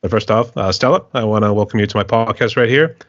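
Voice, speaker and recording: A man's voice: on the low side, quick pace, fairly bright tone, no room sound, muffled recording. An American man hosting a music interview podcast, rambling a little and leaning on filler phrases as he asks questions. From United States. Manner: rambling, repeats filler phrases like "here, too". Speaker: podcast host.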